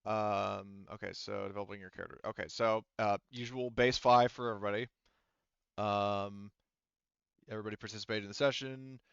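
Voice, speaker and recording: A man's voice: fairly low pitch, fairly even intonation, a little fast, creaky, a bit bright, smooth, no echo, dull audio. A man casually running a tabletop sci-fi game as game master, talking informally and swearing freely. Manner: informal, profane.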